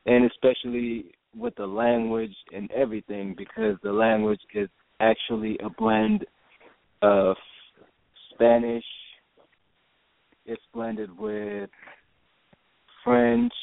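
A man from Jamaica, a guest in an interview, talking haltingly with many restarts. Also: high voice, gravelly, no room sound. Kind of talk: casual interview talk. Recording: phone line, dry.

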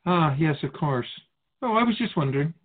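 A wise otherworldly keeper of souls from a radio drama acted by a man. He muses in a formal, philosophical way with gentle wryness, his voice rising and falling freely and slightly husky. It comes through a thin, phone-like band. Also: on the high side, expressive, fairly quick. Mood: curious, gentle. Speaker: spirit guide being interviewed. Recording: muffled phone-like narrow band.

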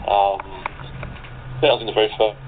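A man's voice: lowish voice, fairly even intonation, moderate pace, a little hiss or hum. A man who works as a radio play narrator and actor. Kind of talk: scripted narration and casual interview chat. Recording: muffled narrow phone-like audio.

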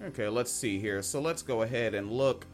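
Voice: mid-range voice, normal speed, soft background music. A podcast co-host who talks sarcastically and mocks pundits, running a soundboard. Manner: sarcastic, mocking, plays soundboard clips. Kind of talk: casual podcast banter.

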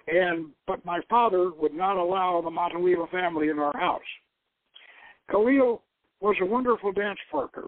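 A man acts out an intellectual, romantic young woman recounting her life. The voice sits high and speaks in a measured, reflective way, as in an audio novel. It comes dry through a tinny, narrow phone-like line. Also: no room sound, close to an everyday voice. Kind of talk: dramatic reading of an adventure novel.

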